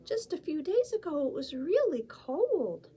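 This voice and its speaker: A woman's voice: sits high, sing-song, a little slow, warm tone, voice pushed up. A woman voicing a tiny cheerful young mouse who keeps asking eager questions.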